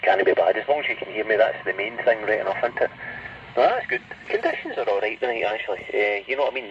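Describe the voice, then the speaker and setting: A Scottish man chatting casually over CB radio in a rambling way, often adding little tag questions. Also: fairly low pitch. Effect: CB radio transmission. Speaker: CB radio hobbyist. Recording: muffled, distant, faintly noisy CB radio.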